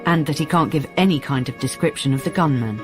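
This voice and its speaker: A woman playing the storyteller of a police radio drama, reading scripted narration in a measured, descriptive way. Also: low-pitched, over music, close to an everyday voice.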